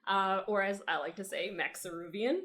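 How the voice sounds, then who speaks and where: Bright, upbeat woman co-host. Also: mid-range voice. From Miami, Florida. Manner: upbeat, quick explainer with fillers. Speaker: podcast co-host. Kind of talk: casual group chat.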